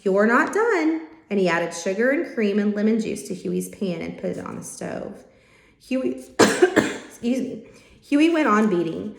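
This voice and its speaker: A woman's voice: medium pitch, lively intonation, unhurried, vocal fry. Woman reading a storybook, roomy echo. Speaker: read-aloud host reading a children's story. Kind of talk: expressive storybook narration. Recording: roomy, echoing home-style recording.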